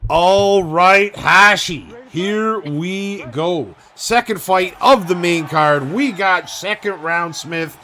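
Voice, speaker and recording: A man giving play-by-play commentary on video game fights, calling each strike quickly and casually. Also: high voice, pushed and bright. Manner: rapid blow-by-blow calling.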